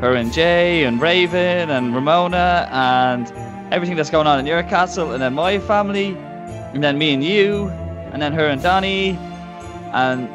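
A performer voicing a gentle, calm young man comforting his girlfriend, in a soothing, playful way. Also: mid-range voice, normal speed, music in the background, close to an everyday voice. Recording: dull muffled recording.

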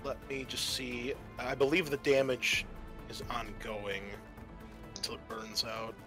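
A man speaking as game master of a tabletop roleplaying game, casual and halting as he thinks aloud. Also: mid-range voice, steady tone, music in the background.

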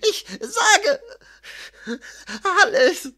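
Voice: very high voice, expressive, extremely slow, sharp tone, pitched above the performer's own voice, heavily acted. A performer voicing a terrified, servile male scribe who whines, sobs and stammers in an audiobook story. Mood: pleading terror. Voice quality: whining, sobbing, stammering.

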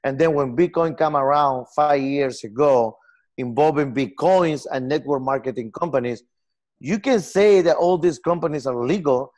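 Earnest Peruvian man with halting English. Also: a little high, darkish tone. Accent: Peruvian Spanish. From Peru. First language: Spanish. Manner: earnest, gestures a lot, halting non-native phrasing. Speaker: software company founder. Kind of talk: casual interview over video call. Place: home room with lamp and armchair.

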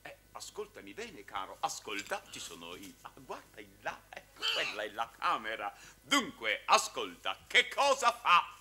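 An actor playing an excitable, melodramatic middle-aged man in a 1960s television series, fussy and theatrical. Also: mid-range voice, moderate pace, few pauses, exaggerated voice. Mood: grandiose. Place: television studio.

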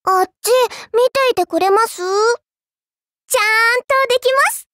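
A woman voicing a childlike, polite but quietly spiteful girl in a game, with a cutely teasing manner. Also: extremely high pitch, moderate pace, dry recording, studio quality. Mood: playful. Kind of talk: Japanese game character voice lines.

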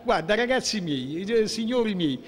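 A man in his sixties with a southern Lazio Italian accent, speaking emphatically and with grievance in an impromptu interview, halting and restarting. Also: sits high, expressive, big-room sound. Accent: central-southern Italian, southern Lazio. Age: 60s. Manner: emphatic, aggrieved, halting with repeated rhetorical questions. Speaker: interviewee, local political figure. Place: indoor hallway of a public building. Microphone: handheld interview microphone held toward him.

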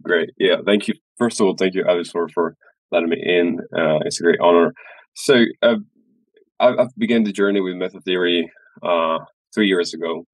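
Very deep-voiced man. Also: normal speed, no room sound, rough recording. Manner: hesitant, many uh fillers and repeats. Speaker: guest, studio founder. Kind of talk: casual interview. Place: small room indoors, video call. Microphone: headset.

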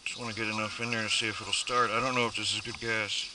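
A man's voice: lowish voice, little pitch movement, fast talker, heavy background noise. A man casually narrating his chores as a homesteading vlogger, rambling and chatty as he works outdoors with a head-mounted camera. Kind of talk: casual first-person narration while working. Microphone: head-mounted action camera.

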